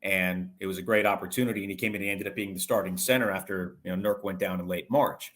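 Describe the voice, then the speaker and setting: Man, lowish pitch, sports broadcaster guest, conversational analysis, detailed explanations. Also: fairly even intonation, fast, fairly bright tone.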